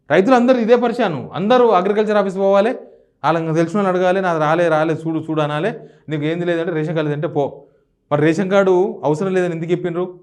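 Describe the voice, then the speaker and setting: A man's voice: a little high, darkish tone. A man with a Telangana Telugu accent giving opinionated news commentary, firing off indignant rhetorical questions.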